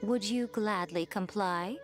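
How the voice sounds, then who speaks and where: A woman's voice: medium pitch, takes it slowly, music in the background, lightly acted. A woman playing a poised, teasing, worldly mentor in a video game, speaking with composed, playful eloquence. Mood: teasing lecture. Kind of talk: video game character acting.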